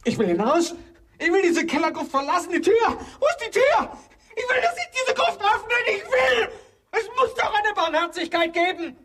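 An actor playing an anguished, tender man trapped in a dark crypt, in a theatrical and halting German radio drama style. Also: extremely high pitch, very animated, slight background noise, heavily acted. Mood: frantic shouting. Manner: theatrical, intense, halting delivery.

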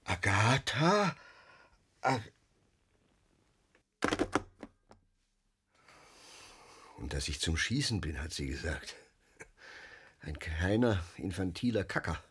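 An actor playing a gruff, cynical middle-aged father in a radio drama, telling his tales emphatically and with foul-mouthed agitation. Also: deep voice, sing-song, a little slow, long pauses, fairly bright tone, slight background noise, exaggerated voice. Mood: bitter, musing.

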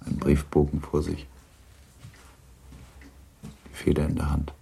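An actor as the sober, restrained narrator of a period novel in a radio drama, measured, grave and understated. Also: male voice, rumbling low, completely flat delivery, creaky, dark tone, slightly rough, heavily acted.

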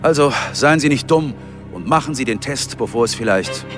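Male actor as earnest advert testimonial, radio play, animated intonation, medium pitch, heavy music bed. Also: normal speed, lightly acted. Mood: earnest, urging. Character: earnest man giving testimonial in public health-test advert. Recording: loud music under the voice.

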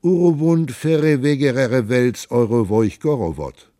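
A man's voice: mid-range voice, warm tone, no echo, studio quality, pitched above the performer's own voice, natural voice. A man doing a ragged, pleading wanderer. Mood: pleading, grandiloquent. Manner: slow, drawn-out, babbling in foreign tongues. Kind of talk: audiobook reading.

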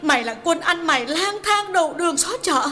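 A man voicing an enraged, betrayed young wife who scolds shrilly and cries as she shouts. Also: very high-pitched, animated, brisk, pitched above the performer's own voice, full character voice. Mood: furious. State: crying.

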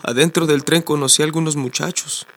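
An actor in a radio drama playing a young, anxious working-class Mexican migrant with a slangy, earnest way of speaking and a Mexican accent. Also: male voice, on the high side, fairly even intonation, fairly quick, fairly bright tone. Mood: weary, earnest.

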